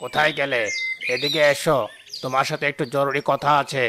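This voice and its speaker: A man's voice: mid-range voice, little pitch movement, a little fast, gravelly, noisy background, natural voice. A man voicing a stern, exploitative older father-in-law in a cartoon, giving commanding orders. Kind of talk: cartoon voice acting. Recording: music behind the voice.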